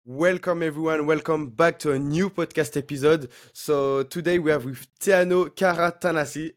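A man's voice: fairly high pitch, steady tone, normal speed, faint music behind. A man, hosting and interviewing a guest on a podcast. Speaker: podcast host.

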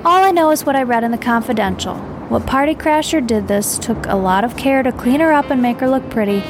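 An actress playing a world-weary, streetwise young hustler in a noir radio drama, sardonic and slangy. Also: female voice, a little high, fairly quick, background noise, close to an everyday voice. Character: world-weary, sharp-tongued streetwise female match girl hustler. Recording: loud music bed under the voice.

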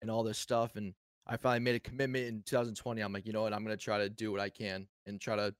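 A man's voice: lowish voice, flat delivery, rapid-fire, no echo, studio quality. A man who streams, chatting easily as a guest on a podcast. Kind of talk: casual interview chat.

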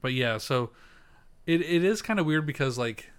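Animated man ranting on a podcast. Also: fairly high pitch, moderate pace, clear, resonant tone. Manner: animated, swears often. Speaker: podcast co-host. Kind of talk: casual chat.

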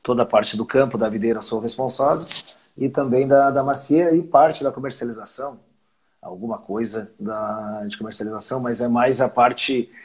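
Man, 50s, husky, relaxed rambling interview guest. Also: medium pitch, normal speed. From southern Brazil. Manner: rambling, restarts phrases, chuckles often. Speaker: winery owner, guest. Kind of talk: casual conversational interview.

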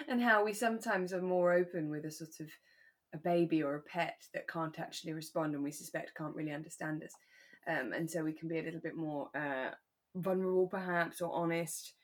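A woman with a British accent, chatting casually and in a relaxed way as a podcast host. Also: deep voice, normal speed.

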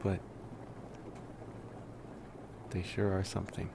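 A man playing a laid-back, wry college-age vampire in an intimate ASMR roleplay, rambling casually and hesitantly with sighs and pauses. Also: low-pitched, completely flat delivery, creaky, very noisy. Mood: baffled, amused.

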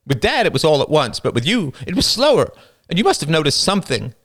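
A man voicing a stoic teenage boy in an audiobook, his voice lifted well above the narrator's and cracking. He presses his words out forcefully, with his pitch jumping. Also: very animated, fast talker, exaggerated voice. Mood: pained. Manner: pushing out words in a tense, raised voice.

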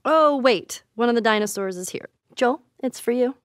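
A woman's voice: on the high side, animated, normal speed, no room sound, clean studio sound, natural voice. An actress playing a tough, wry, foul-mouthed young American woman in a video game, with a casual, muttering, sardonic delivery. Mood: wry, playful. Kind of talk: naturalistic video game voice acting.

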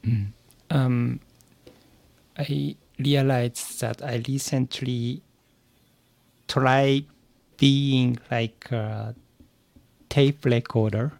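A man with a Japanese accent, a native Japanese speaker, giving careful, halting interview answers in English as a podcast guest and learner. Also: mid-range voice, steady tone, creaky, a little mellow, dry recording. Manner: hesitant, searching for words, halting phrases.

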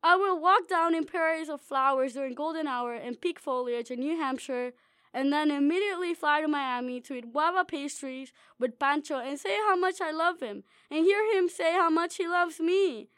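Very high-voiced young woman reading her essay. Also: moderate pace, a bit bright, dry recording, studio quality. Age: young adult. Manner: wry, dreamy, playfully self-mocking. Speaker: student author reading her own essay. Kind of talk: reading a personal essay aloud.

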